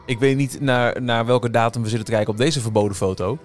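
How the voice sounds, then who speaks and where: Halting man, medium pitch. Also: fairly even intonation. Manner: halting, repeats words. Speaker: podcast co-host. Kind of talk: casual podcast chat. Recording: background music under the talk. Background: ambient theme-park music.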